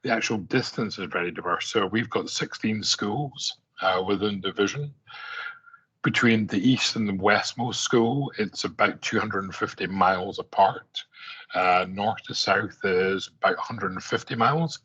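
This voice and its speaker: A man's voice: lowish voice, moderate pace, pushed and bright, slightly rough, rough recording. A middle-aged man from Scotland with an Aberdeen accent, giving a conference presentation in a conversational way, with many fillers and restarts. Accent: Scottish, Aberdeen. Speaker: conference speaker.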